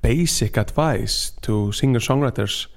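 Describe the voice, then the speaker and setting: Halting Icelandic man in casual conversation. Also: medium pitch, gravelly. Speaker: singer-songwriter and storyteller, podcast guest.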